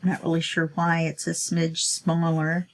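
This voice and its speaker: Low gravelly woman, stuffy and chatty. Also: completely flat delivery, measured pace. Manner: chatty, self-talking while working, sniffly. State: stuffy nose. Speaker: crafting channel host. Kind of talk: casual crafting tutorial talk.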